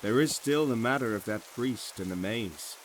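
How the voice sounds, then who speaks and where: An actor playing a cautious, earnest conspirator and loyal companion in a fantasy audio drama. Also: male voice, medium pitch, expressive, normal speed, slight background noise, close to an everyday voice, rough recording. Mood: relieved, cautious.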